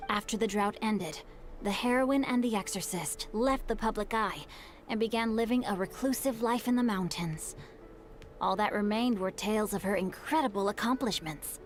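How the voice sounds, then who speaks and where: A woman plays a boyish young scholar in a video game, thoughtful and polite. The lines come in a measured, articulate storytelling manner at a medium pitch for a woman, with music underneath. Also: lightly acted. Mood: thoughtful, informative. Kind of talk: scripted video game dialogue.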